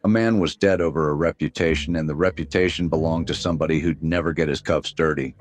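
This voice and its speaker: An actor playing a weary, cynical private detective in a noir radio drama, talking in a wry deadpan. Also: male voice, extremely low pitch, fast talker. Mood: brooding, wry.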